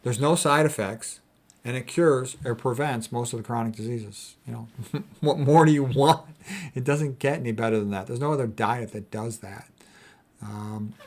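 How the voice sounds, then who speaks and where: A man's voice: mid-range voice, steady tone, normal speed. A man with a mid-range voice presents health material informally. He alternates between reading quotations aloud and adding his own folksy commentary. His delivery is emphatic, and he repeats key statements to drive them home. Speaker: health educator presenting book quotes.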